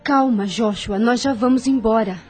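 A woman's voice: on the high side, normal speed, a little mellow, breathy edge, faint music behind. An actress playing a proud, reproachful immigrant mother in an earnest, dignified way in a radio soap opera. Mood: restrained, resigned.

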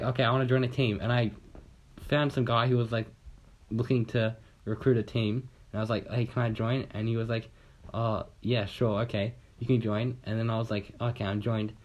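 A man's voice: medium pitch, fairly even intonation, fairly quick, darkish tone, lo-fi sound. A young man from Australia telling a long, rambling story in casual chat on a podcast, swearing casually and acting out what people said.

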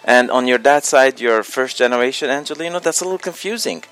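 Fast-talking radio host man. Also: medium pitch, expressive, fairly bright tone, clear, resonant tone, faint music behind. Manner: quick, playful, joking with the guest. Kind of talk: radio interview, switching between Arabic and English.

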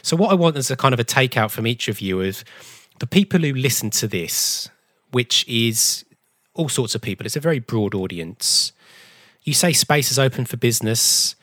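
A man's voice: mid-range voice, lively intonation, moderate pace, a bit bright, no echo, clean studio sound. A man hosting a panel discussion, putting questions to guests in a conversational way. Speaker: host moderating a panel. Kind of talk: conversational interviewing.

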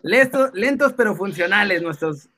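A man with a Mexican accent co-hosting a live stream in casual, playful banter, excitable and quick to exclaim. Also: high voice.

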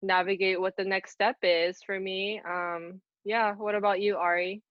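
A woman's voice: medium pitch, flat delivery, measured pace, dry recording, muffled recording. A woman in her twenties from the Philippines, co-hosting a podcast in casual, earnest chat. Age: 20s. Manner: earnest, upbeat, many fillers. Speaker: podcast co-host.

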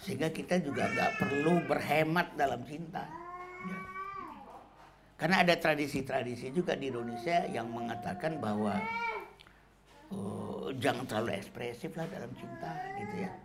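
A middle-aged man giving a relaxed, conversational talk on parenting, often tagging sentences with casual fillers. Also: mid-range voice, moderate pace, background noise. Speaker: parenting speaker.

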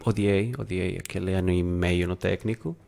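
A middle-aged man from Spain with a mixed Spanish and Portuguese accent, speaking as a guest and reflecting in a relaxed way. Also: deep voice, normal speed, vocal fry, a crowd in the background. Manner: reflective, many fillers and restarts. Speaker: guest photographer. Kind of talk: casual interview talk.

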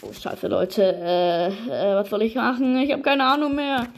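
A woman giving excitable, slangy improvised gameplay commentary and talking directly to her audience. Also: a little high, a little slow, a little hiss or hum. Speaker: gaming narrator and player.

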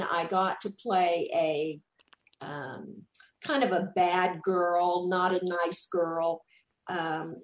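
A chatty woman from Texas with a Southern drawl, talking about her acting work in an easygoing interview. Her voice sits on the lower side, and the room around her rings with echo. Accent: Southern US, Texan. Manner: chatty, warm, repeats words when starting. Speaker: actress, interview guest. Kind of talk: casual interview chat.

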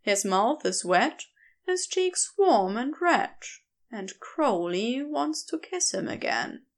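Woman, fiction narrator, high pitch, animated melody, slow pace, expressive, deliberate scripted storytelling. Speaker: podfic narrator.

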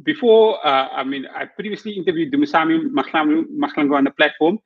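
A man's voice: on the high side, quick pace, highs cut off. A man hosting a live interview show, who asks his questions in a structured way. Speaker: podcast host.

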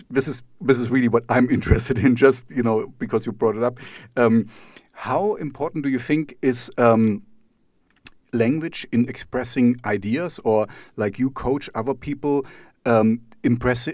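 A man's voice: mid-range voice, a little mellow, no echo, clean studio sound. A German-accented man from East Germany, a native German speaker, hosting an interview in English and asking long, rambling questions full of restarts and fillers. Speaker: podcast host. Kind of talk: conversational interview.

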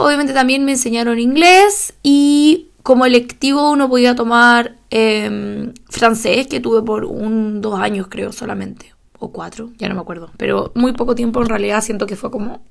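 A woman's voice: fairly high pitch, creaky. A young woman from Chile with a Chilean Spanish accent, talking casually on her own podcast, chatty with fillers and small laughs. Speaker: podcast host.

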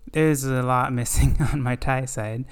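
Male podcast host, smooth and steady voice, earnest, thoughtful, halting at times. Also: mid-range voice. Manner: earnest, reflective, halting with fillers. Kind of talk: casual scripture discussion.

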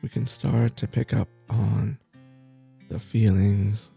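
A man who is a meditation teacher, leading a guided body scan for sleep with slow, soft, soothing phrasing that repeats itself. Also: lowish voice, fairly even intonation, creaky, soft background music.

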